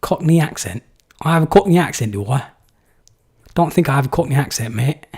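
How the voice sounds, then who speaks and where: A man's voice: fairly high pitch, animated, a little fast, gravelly, a little mellow, husky. A young man from England answering viewer questions in a live stream, casual and rambling with many fillers. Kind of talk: live Q&A chat.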